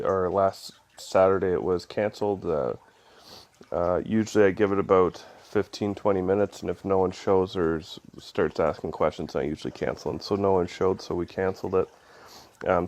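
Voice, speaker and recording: A man with a head cold and a stuffy, nasal voice gives a casual spoken devotional, loose and conversational with many ums and uhs. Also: deep voice. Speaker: devotional video host.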